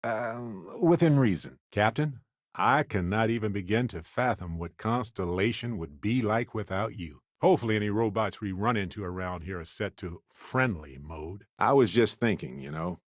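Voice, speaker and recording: Man voicing a middle-aged male space explorer, video game companion, low register, dry close sound, wry, warm-hearted, conversational. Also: moderate pace, dry recording, natural voice. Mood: tender, sincere. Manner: wry, conversational, with earnest asides.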